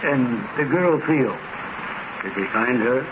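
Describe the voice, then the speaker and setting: A man's voice: medium pitch, animated, slow pace. An actor playing a shocked, inquisitive local man in an old-time radio drama. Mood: shocked curiosity. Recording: noisy, dull vintage radio recording.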